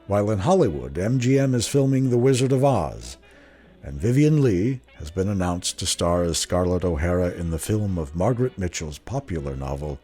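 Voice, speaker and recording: A man hosting a radio drama series, giving measured, scripted narration in a theatrical, portentous manner. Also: low voice, dark tone, slightly rough. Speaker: host, producer and star of a radio drama series. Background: faint music.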